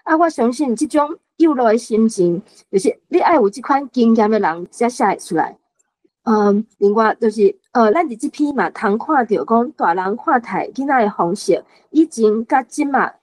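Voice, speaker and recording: A woman's voice: on the high side, a little mellow. A woman in her late fifties with a Taiwanese accent, discussing a story as a guest and sharing personal anecdotes. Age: late 50s. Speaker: guest panelist.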